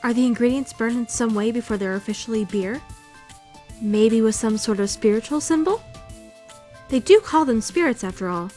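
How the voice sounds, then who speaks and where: A woman's voice: on the high side, expressive, normal speed, dark tone, close to an everyday voice. A woman playing a prim, bookish young student who is easily indignant, in a fan-dubbed visual novel with formal, theatrical delivery. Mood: nervous, rambling. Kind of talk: first-person visual novel fan dub narration and dialogue. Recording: music behind the voice.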